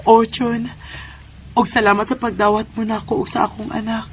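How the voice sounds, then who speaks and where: A woman's voice: on the high side, flat delivery, normal speed, a little hiss or hum, lightly acted. An actress playing a grateful, affectionate young mother and new wife in a sentimental radio drama, emotional and coy. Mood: grateful, tearful.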